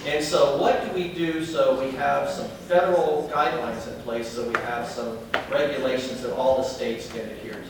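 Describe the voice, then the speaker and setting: A man's voice: medium pitch, steady tone, moderate pace, few pauses, noisy background. A man with a Southern US accent gives a chatty talk to a meeting, often putting questions to the audience. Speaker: advocacy group speaker. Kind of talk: informal speech to a meeting. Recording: echoey room, distant mic, crowd.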